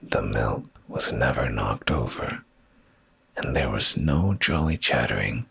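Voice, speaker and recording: A man softly reading a bedtime story in ASMR style, in a deep, gravelly, breathy voice that often slips into a whisper, drowsy and soothing, close to the microphone. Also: expressive, unhurried, dry recording.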